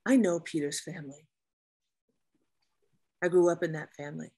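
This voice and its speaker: A woman acting a weary, blunt, bitter naval officer with a husky voice in a dramatic script reading. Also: deep voice, normal speed, mellow.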